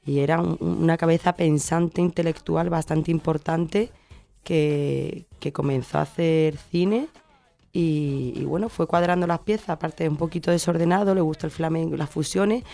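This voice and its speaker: A woman's voice: low-pitched, fairly even intonation, moderate pace, vocal fry, mellow. A woman from Cádiz with an Andalusian accent, chatting casually as an interview guest, effusive and often searching for her words. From Cádiz, southern Spain. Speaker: radio guest, short-film maker.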